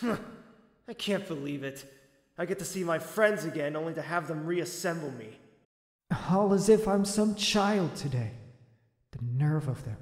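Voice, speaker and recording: A man's voice: fairly high pitch, lively intonation, measured pace, dry recording, studio quality. An actor playing an ancient, boastful warrior champion, telling his tale in a grandiose, theatrical way. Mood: wry. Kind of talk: fan audio drama acting.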